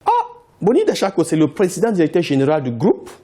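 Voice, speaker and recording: Insistent man, lively pitch. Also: fast talker. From Cameroon. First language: English. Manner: insistent, repeats his points. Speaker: company founder, interview guest. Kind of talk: TV interview answers.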